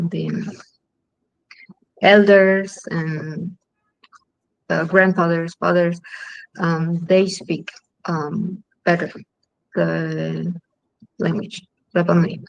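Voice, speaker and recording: Halting Chilean woman filmmaker, slow and low. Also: flat delivery, creaky, no echo, muffled recording. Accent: Chilean Spanish. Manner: halting, with many ums and restarts. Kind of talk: festival Q&A talk.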